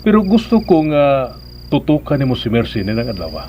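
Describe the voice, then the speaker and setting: A composed, well-meaning bridegroom acted by a man speaks in a Philippine radio drama. His accent is Cebuano Filipino and his pitch sits in the middle. Clear noise and chirping insects lie behind him. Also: very animated, normal speed, mellow, lightly acted. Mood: instructing, calm. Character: composed, well-meaning bridegroom giving instructions, a respected sir.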